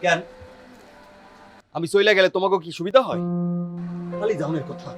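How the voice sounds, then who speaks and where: A troubled young village son back from working abroad, played by a male actor. His voice is fairly high, earnest and burdened, with a colloquial rural Bangladeshi accent. Light music runs behind this TV drama. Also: normal speed, lightly acted. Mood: guarded. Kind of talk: TV drama acting in dialect.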